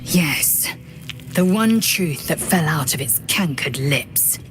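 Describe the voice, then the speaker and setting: Game role: stern, disdainful female fighter, lowish pitch, commanding, over prominent score. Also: animated, takes it slowly, low-quality recording. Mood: fierce, certain. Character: stern, proud, suspicious warrior woman. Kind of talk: dramatic video game character acting. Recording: loud game music behind.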